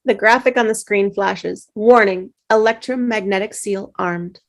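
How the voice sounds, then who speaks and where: A woman reading the stage directions at a table read, in plain, steady narration. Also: mid-range voice, a little slow.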